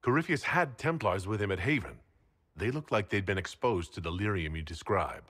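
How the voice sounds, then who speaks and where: A man's voice: on the low side, lively intonation, normal speed, a bit bright. A man playing a commanding, level-headed leader in a video game, authoritative and reasoning. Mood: serious, questioning. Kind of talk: dramatic video game acting. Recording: long hall reverb.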